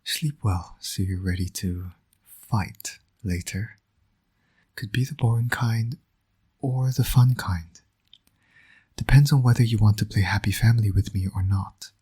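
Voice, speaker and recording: A man playing a flirtatious villain and doting father in romantic roleplay audio, speaking in a smug, teasing, intimate way with a breathy, often near-whispered voice. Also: on the low side, measured pace, long pauses, mellow. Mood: soft, smug.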